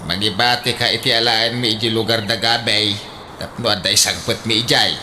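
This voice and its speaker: A man's voice: lowish voice, fairly even intonation, fairly quick, bright, forceful tone, a little hiss or hum. An actor with an Ilocano accent playing a teasing, blunt older father in a comedic radio drama, full of exclamations. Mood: nagging, practical.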